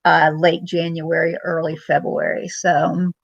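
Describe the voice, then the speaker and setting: Chatty woman, low and a bit muffled. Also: flat delivery, a little slow, creaky, no echo. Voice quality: loud voice. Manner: rambling, many ums, greets viewers by name. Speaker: vlogger. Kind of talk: casual live-stream chat. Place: home.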